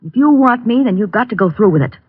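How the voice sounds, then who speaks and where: A scheming secretary, high and husky. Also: female voice, expressive, fast, dry recording. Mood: impatient, demanding. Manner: sharp, demanding, coaxing. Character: scheming young woman, a secretary plotting murder. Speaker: private secretary. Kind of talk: 1940s radio acting. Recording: dull lo-fi old radio recording.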